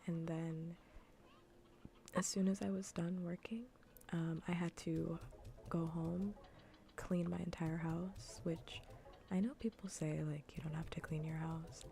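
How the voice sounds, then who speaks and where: A woman speaks softly and breathily, often close to a whisper, as she streams a cozy game. Her voice sits a little low and is mellow, with plenty of creaky fry. She rambles casually and circles back over her own phrases. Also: level tone, measured pace. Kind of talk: soft-spoken ASMR gaming stream chat.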